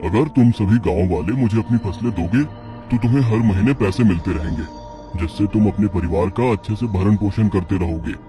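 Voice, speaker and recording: A man's voice: fairly low pitch, a little fast, dark tone, faint music behind, lightly acted. A man playing a self-assured, calculating character in a moral-story cartoon. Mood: persuasive.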